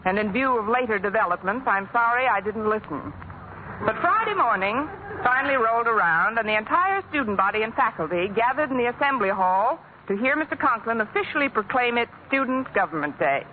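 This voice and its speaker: An actress playing a sharp-tongued schoolteacher with dry wisecracks, in 1940s radio sitcom acting. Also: female voice, on the high side, animated, fairly quick, lightly acted. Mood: wry. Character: sharp-tongued woman schoolteacher, wry and lovestruck. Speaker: schoolteacher narrator and lead. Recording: tinny, hissy old radio broadcast.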